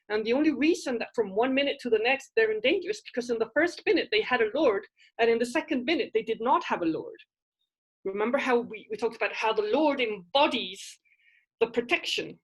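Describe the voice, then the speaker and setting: A middle-aged woman teaching a university literature class from home, speaking through a headset on a video call, animated and often asking "right?". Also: on the high side, breathy edge, low-quality recording. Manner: animated, gestures while explaining, asks "right?" often. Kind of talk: online class discussion. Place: home room with bookshelves.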